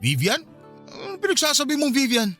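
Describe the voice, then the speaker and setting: Male, quick forceful delivery, Filipino accent, dismissive young husband played by an actor, radio drama, music underneath. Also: very high voice, huge pitch swings, exaggerated voice. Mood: defensive, irritated.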